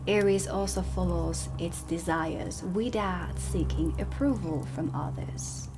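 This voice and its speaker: A woman playing a stern, dry dark witch teacher in a soft-spoken ASMR roleplay, deliberate and often slipping toward a whisper. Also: fairly low pitch, noisy background, close to an everyday voice. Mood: calm, instructive. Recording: close voice under prominent ambient music. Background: ambient music.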